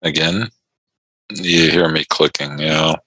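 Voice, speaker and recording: Slow, deep-voiced man chatting on stream. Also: fairly even intonation, pushed and bright, dry recording, poor audio. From Chicago North Side. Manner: laid-back, laughs often, reads and answers chat. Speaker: trading stream host. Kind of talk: casual live-stream chat with viewers. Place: quiet home room.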